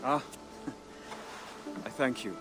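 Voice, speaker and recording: An actor playing a modest, earnest young member of a secret brotherhood in a historical game, speaking formally and sincerely. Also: male voice, medium pitch, lively intonation, extremely slow, over music. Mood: humble, reflective.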